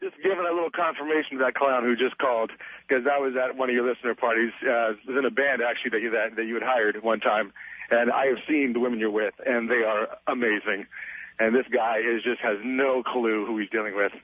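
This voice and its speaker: A man's voice: mid-range voice, steady tone, a little fast, no echo. A man calling in to a radio show, chatting casually and dismissively about critics. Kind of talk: casual call-in chat. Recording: tinny phone line on radio.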